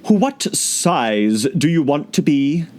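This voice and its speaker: A languid, contemptuous caterpillar from a storybook. The voice is raised and swoops widely, with words stretched out very slowly. Every reply is curt, dismissive and superior. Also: male voice, a little high, very animated, a little mellow, a little hiss or hum, voice pushed up. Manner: slow, drawling, curt and dismissive. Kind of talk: audiobook character voice.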